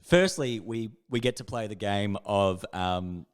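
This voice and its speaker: A man's voice: fairly low pitch, a little slow, smooth. A man with an Australian accent chatting casually as a podcast co-host, jokey and rambling. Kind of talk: casual chat.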